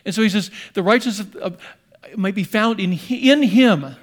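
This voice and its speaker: A man's voice: sits high, normal speed. A man preaching a sermon as pastor, animatedly voicing the apostle in first person with rising emphasis.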